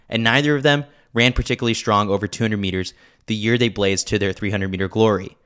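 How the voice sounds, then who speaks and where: Male host, lower register, scripted narration of a sports opinion newsletter. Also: fairly bright tone, clear, resonant tone. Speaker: podcast host reading a newsletter.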